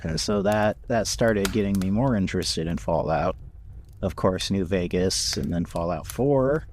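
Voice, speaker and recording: Deep male voice, unhurried and halting, good-humored casual talker, faint noise on the recording. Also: expressive, a little mellow. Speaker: podcast voice actor and writer. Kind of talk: casual chat.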